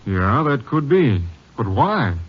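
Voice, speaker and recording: An actor playing a brisk, skeptical Ranger captain in a 1950s radio drama, firing short probing questions. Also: male voice, mid-range voice, sing-song, breathy edge, full character voice. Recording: dull lo-fi 1950s radio broadcast.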